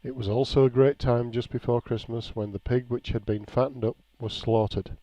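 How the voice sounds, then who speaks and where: A man reading a nostalgic written memoir aloud in a measured, plain storytelling way. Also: mid-range voice, steady tone, mellow. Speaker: reader of a written local-history account. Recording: dull, lo-fi, echoey room.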